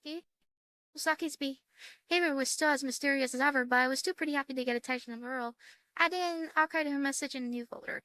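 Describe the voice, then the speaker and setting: High-pitched woman stumbling through a read-aloud. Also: fast, sharp tone, no echo. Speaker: game streamer reading a visual novel aloud. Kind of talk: casual let's-play read-aloud with side comments.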